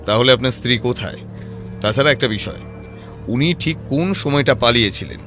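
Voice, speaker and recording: A man acting as a sharp-witted private detective with a mid-range voice, measured and composed. He lays out his reasoning patiently and probes with rhetorical questions, in an audio drama with music underneath. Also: mid-range voice, animated. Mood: firm, challenging. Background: background music.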